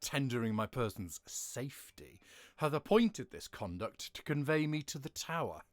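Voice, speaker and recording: An earnest, wronged nobleman acted by a man speaks with a mid-pitched, very animated voice in dry, close sound. The delivery is theatrical classical Shakespeare, made for audio. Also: moderate pace, no echo, lightly acted. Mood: aggrieved, resigned. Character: wronged, trusting nobleman under arrest, king's brother.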